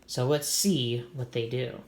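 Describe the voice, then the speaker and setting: A man's voice: medium pitch, steady tone, slow pace. Man reading to kids in an echoey room. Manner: simple, encouraging explanations addressed to children. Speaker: read-aloud host for children. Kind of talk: storybook reading with informal commentary.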